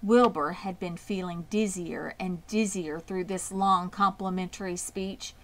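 A woman reading a children's storybook aloud as an expressive narrator. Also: lowish voice, a little slow. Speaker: audiobook reader.

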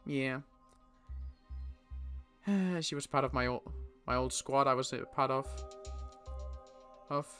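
A young man playing a weary survivor in a Minecraft roleplay, talking in halting bursts with sighs and restarts. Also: mid-range voice, a bit bright, faint music behind. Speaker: roleplay player and channel host.

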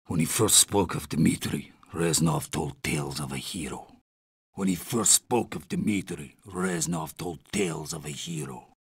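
A man's voice: low-pitched, husky, dry recording, clean studio sound, heavily acted. A man with a Russian accent voicing an idealistic young Soviet soldier troubled by brutality in a video game. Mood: somber, reflective. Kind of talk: video game character voice lines.

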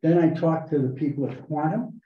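A man reporting to a town board meeting, talking in a halting way with many fillers and restarts. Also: on the high side. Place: town hall meeting room. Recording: echoey, muffled meeting room recording.

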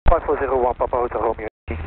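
A man speaking as a pilot, calling the tower in measured call signs with short pauses, heard over radio. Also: medium pitch. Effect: radio transmission. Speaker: helicopter pilot. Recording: scanner-received radio, narrowband with hiss.

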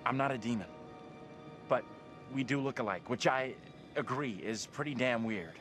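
An actor playing a kind, uneasy young college student in a horror game, speaking earnestly and casually. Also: male voice, medium pitch, moderate pace, music in the background, lightly acted. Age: young adult. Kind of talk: video game cutscene drama.

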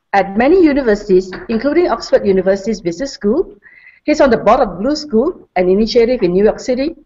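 Lively-voiced woman moderating a webinar. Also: on the high side. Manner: warm, formal, reads credentials. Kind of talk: formal speaker introduction. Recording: dull lo-fi webinar audio.

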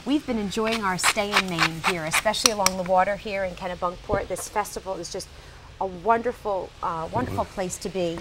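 A woman's voice: mid-range voice, brisk, very noisy. A woman in her thirties hosting a TV cooking show, eager and full of praise, asking the chef questions in a tent kitchen. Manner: enthusiastic, asks leading questions. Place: outdoor festival tent kitchen.

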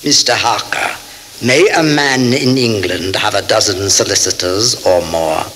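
A proud old vampire nobleman in an audio horror drama. His speech is deep and very deliberate, courtly and grandiose, with a sharp, menacing edge. Faint tape hiss sits under the voice. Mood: formal, probing. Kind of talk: radio drama acting.

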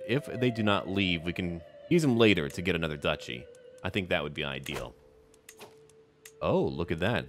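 A man's voice: low voice, a bit bright. A man giving roleplay commentary on a strategy game, musing theatrically in character like a schemer. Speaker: gamer narrating a strategy game.

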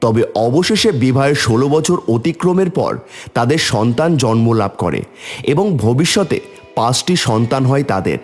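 A man narrates a scripted tale with lively, swooping intonation. His voice is husky and sits at a middle pitch. There is faint music underneath. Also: normal speed. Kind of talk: scripted dramatic storytelling.

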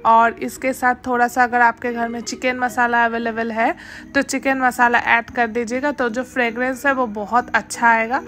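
A woman hosting her home cooking channel, giving steady step-by-step instructions in a voice-over and mixing English words into her speech. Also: on the high side, normal speed, faint music behind.

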